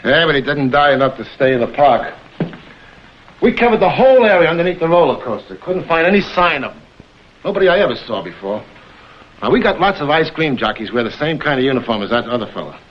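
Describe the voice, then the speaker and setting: A worried amusement park owner in an old TV drama. He talks quickly in a brusque, businesslike way. The vintage soundtrack is dull and a little noisy. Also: a little high, big pitch swings. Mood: matter-of-fact. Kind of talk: vintage screen drama acting.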